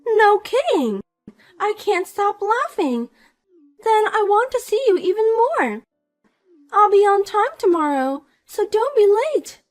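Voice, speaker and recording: A woman's voice: very high voice, very animated, slow pace, faint music behind, pitched above the performer's own voice, full character voice. A woman voicing a vain, spiteful young woman who mocks and pushes others around. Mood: taunting. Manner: mocking, pushy, self-important.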